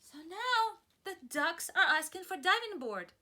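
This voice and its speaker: A woman reading a picture book aloud to young children as a preschool teacher, expressively, pausing to explain simply and ask questions. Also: extremely high pitch. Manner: expressive, asks questions and pauses for answers.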